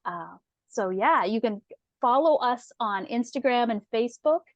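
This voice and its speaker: Higher-voiced woman interviewer, dry sound. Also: animated, unhurried, no room sound, highs cut off. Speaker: interviewer and host from a book publisher. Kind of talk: conversational interview hosting.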